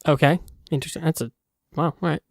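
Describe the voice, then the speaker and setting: A man's voice: fairly high pitch, steady tone, fast talker, gravelly. A man hosting a casual podcast chat, giving short reactions and questions as a listener. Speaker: podcast host.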